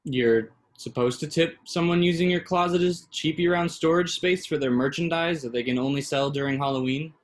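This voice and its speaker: A man acting a gentle, dry-humoured young boyfriend in a staged play reading, understated and conversational. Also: a little high, moderate pace, hardly any pauses, close to an everyday voice. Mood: wry, casual.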